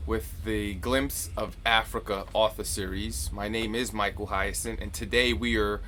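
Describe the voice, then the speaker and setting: A man's voice: lowish voice, fairly even intonation, a little slow, sharp tone, slight background noise. Encouraging male interview host. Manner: friendly, encouraging, frequent backchannels. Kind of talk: live-streamed interview.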